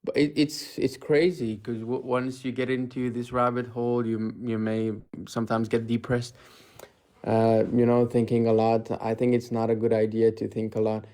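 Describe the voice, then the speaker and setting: Man, 20s, from Afghanistan, audio-only livestream guest, mid pitch, hesitant and filler-heavy. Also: little pitch movement, normal speed, warm tone. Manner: hesitant, many fillers and restarts. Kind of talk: casual chat. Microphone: audio only, camera off.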